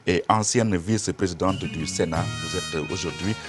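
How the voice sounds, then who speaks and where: Deep-voiced male TV host, music behind. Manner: measured, formal questioning. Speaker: TV show host. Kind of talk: political TV interview. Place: TV studio.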